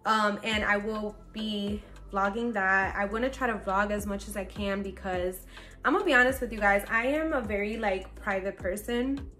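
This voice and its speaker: A woman about thirty chats to her camera from her bedroom, upbeat and full of fillers. Her voice sits at an ordinary middle pitch. Soft music plays faintly underneath. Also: steady tone, normal speed. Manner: chatty, upbeat, warm toward viewers, many fillers. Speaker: faith lifestyle vlogger. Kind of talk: casual chat to camera. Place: bedroom at home, indoors.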